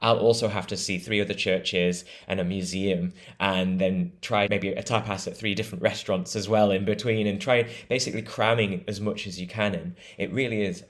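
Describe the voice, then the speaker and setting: A man's voice: fairly low pitch, fairly even intonation, moderate pace, few pauses. A British man being interviewed as a travel writer, talking in an enthusiastic, explanatory way and laughing easily. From Britain. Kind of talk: conversational interview.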